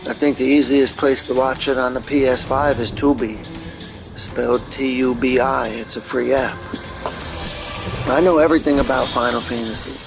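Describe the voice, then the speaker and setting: A man's voice: mid-range voice, fairly even intonation, moderate pace, vocal fry, poor audio. A man giving casual, informal commentary over video game cutscenes, explaining things like a fan and swearing freely. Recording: game soundtrack music behind the voice. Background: video game cutscene music.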